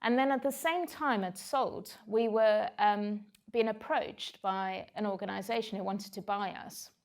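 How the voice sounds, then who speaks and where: Candid South African woman, gravelly voice. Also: mid-range voice, measured pace. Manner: reflective, candid, many "um" fillers. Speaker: speaker sharing her story on stage. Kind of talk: personal talk to an audience.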